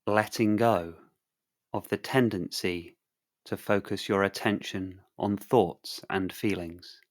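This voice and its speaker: Slow, low-pitched male meditation guide. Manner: calm, soothing, measured delivery with long pauses.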